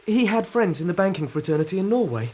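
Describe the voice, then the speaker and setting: An actor playing a frightened, desperate young man in a radio drama, halting, pleading and agitated. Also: sits high, big pitch swings, a little mellow, slight background noise. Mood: indignant, distressed. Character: frightened, desperate, high-strung young male suspect.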